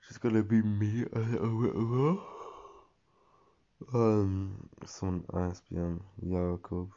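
A young man rambles on in a slangy, meandering monologue, full of fillers and false starts, his voice worn and hoarse. His tone sits deep and flat, with a dark, gravelly edge. The recording sounds dull and lo-fi. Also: slow. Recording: dull lo-fi audio.

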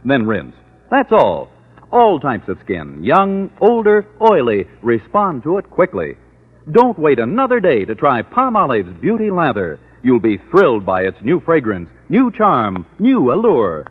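A man announcing a 1940s radio soap advertisement as an emphatic sales pitch. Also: wildly swooping pitch, a little slow, slightly rough. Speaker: commercial announcer. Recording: tinny old radio recording.

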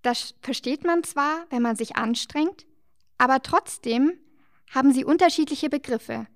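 A woman co-hosting a children's show, explaining things cheerfully and gently teasing her partner. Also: sits high, measured pace, dry recording, studio quality. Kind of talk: scripted children's educational dialogue.

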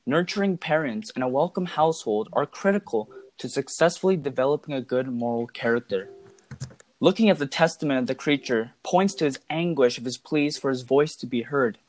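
A man giving scripted analytical commentary in a formal, measured manner. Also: mid-range voice, no room sound.

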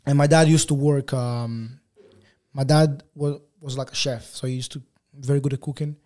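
Mellow-voiced Portuguese-speaking man, rambling. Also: medium pitch, moderate pace. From Angola, raised in Portugal. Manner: relaxed, rambling, many fillers and restarts. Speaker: guest photographer. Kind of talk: casual interview chat.

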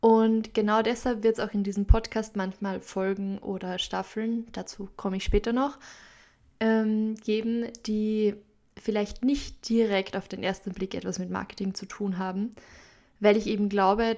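Woman, 20s, Upper Austrian accent, podcast host, casual and chatty, flat intonation. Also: medium pitch. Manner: chatty, many fillers, mixes in English loanwords. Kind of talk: casual solo podcast talk.

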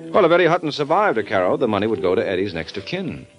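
An actor playing a wry, teasing private detective who lays out a case in an old radio drama. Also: male voice, on the low side, sing-song, rapid-fire. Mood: explaining. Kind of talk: 1950s radio acting. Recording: dull old radio broadcast with music.

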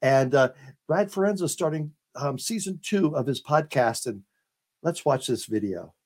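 A man's voice: on the high side, expressive, moderate pace, darkish tone. A man hosting a casual interview as a drag queen, with an effusive manner.

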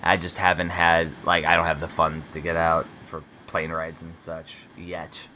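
A man's voice: deep voice, completely flat delivery, measured pace, pushed and bright, slight background noise, low-quality recording. An American man in his thirties hosting a casual interview, rambling and laid-back with lots of fillers and laughs. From United States. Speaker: podcast host and book publisher.

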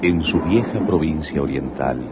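A man's voice: rumbling low, moderate pace, very noisy. A man narrating a scripted radio drama in a solemn, literary manner. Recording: muffled old radio recording, loud music. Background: loud music.

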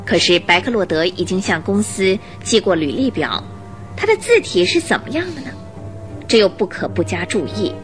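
A woman's voice: medium pitch, very animated, moderate pace. A woman narrating a radio drama in a scripted, suspenseful storytelling style, posing questions expressively. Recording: faint music behind narration. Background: faint music.

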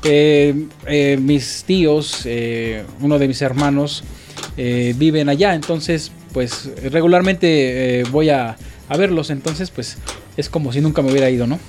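A man, a musician guest, chatting casually on a radio show, halting with many fillers. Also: a little high, soft background music. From Mexico. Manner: relaxed, halting with frequent fillers.

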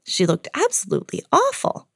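A woman's voice: sits high, huge pitch swings, brisk, fairly bright tone, no echo, clean studio sound, voice pushed up, heavily acted. A woman voicing a kind, polite storybook girl with an earnest, courteous manner. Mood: uneasy.

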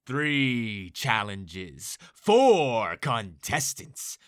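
Game show host, male: showy, theatrical, high-pitched, slow stretched delivery, audio drama. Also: sharp tone, exaggerated voice. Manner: showy, drawn-out announcer delivery.

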